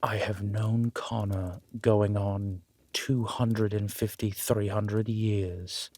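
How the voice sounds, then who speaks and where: A man voicing a grave, protective, centuries-old immortal in a roleplay audio drama, speaking in a measured, formal and solemn manner. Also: lowish voice, flat delivery, dark tone. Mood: solemn, guarded.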